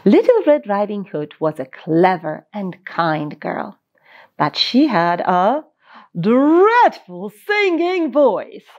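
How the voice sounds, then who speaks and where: Female children's storyteller: expressive, swooping intonation, unhurried delivery, playful asides. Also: medium pitch, highs cut off.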